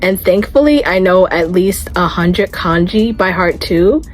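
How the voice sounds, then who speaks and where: A young woman in her twenties chats earnestly to camera in a relaxed vlog, her voice sitting a little low. She talks in a loose, friendly flow with plenty of "like" fillers, and faint music plays underneath. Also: unhurried. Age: 20s. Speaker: vlogger. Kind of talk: casual talking-to-camera vlog.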